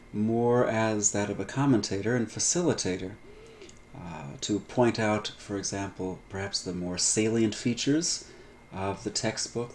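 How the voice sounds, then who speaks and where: A middle-aged man giving a recorded online lecture on biblical Greek, measured and full of "uh" fillers, stretching out key terms. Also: lowish voice, darkish tone, a little hiss or hum. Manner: measured, frequent "uh" fillers, drawn-out emphasis on terms. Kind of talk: recorded online lecture over textbook pages.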